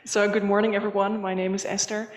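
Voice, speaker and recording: A woman's voice: mid-range voice, flat delivery, quick pace. A woman, introducing herself at a conference in a chatty, cheerful way. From Netherlands. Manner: chatty, cheerful, self-deprecating. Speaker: project manager. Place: conference hall. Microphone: desk microphone. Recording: echoey reverberant hall.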